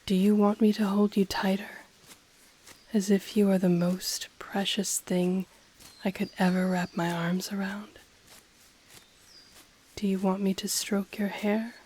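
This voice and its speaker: A woman voicing an ancient dragon familiar, possessive, teasing and tender, speaking softly and formally in an intimate ASMR roleplay. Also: medium pitch, little pitch movement, a little hiss or hum, natural voice. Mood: teasing, seductive. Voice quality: soft, breathy, often whispering. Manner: slow, formal, teasing, purring delivery.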